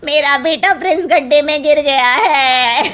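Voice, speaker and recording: Woman acting a shrill, wailing distraught mother. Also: fairly bright tone. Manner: wailing, drawn-out. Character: melodramatic distraught neighbour mother.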